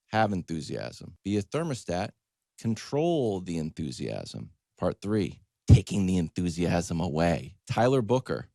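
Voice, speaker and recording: A man with a lowish voice hosts a sports talk show as a comic storyteller. His pitch swings widely as he stresses his points and acts out little exchanges. The sound is dry and close. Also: no echo. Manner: emphatic, animated, acts out quoted exchanges. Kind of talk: conversational comedic storytelling monologue.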